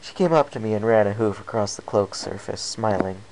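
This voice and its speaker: A woman's voice: a bass voice, fairly quick, noisy background. A woman reading first-person fan fiction aloud as an amateur audiobook narrator.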